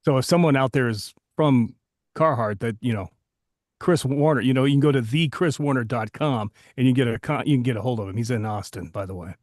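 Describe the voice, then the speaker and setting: A man's voice: medium pitch, brisk, darkish tone, no echo, studio quality. A man co-hosting a podcast as a voiceover coach, chatting casually and joking with candid, occasional swearing.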